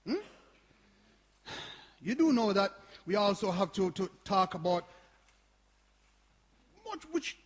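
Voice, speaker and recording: Radio play: weary grandfather played by a man, raspy, somewhat high voice, hesitant delivery. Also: sing-song, takes it slowly, pauses often, heavily acted. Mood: doubtful. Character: Arthur, worried, weary older grandfather, centre owner longing for Jamaica. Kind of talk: live-performed community radio play. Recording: reverberant hall.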